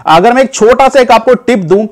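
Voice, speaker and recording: A man's voice: extremely high pitch, expressive, fairly quick. A man from India chatting casually to camera as a vlogger, calling viewers "guys" and filling his talk with hesitations and restarts. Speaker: vlogger giving advice.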